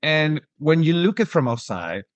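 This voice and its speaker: A man's voice: fairly high pitch, big pitch swings, unhurried, dry recording. A man from Argentina hosting an interview, asking long questions with frequent restarts and "right?" tags. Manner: searching for words, restarts, rising "right?" tags. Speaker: podcast host.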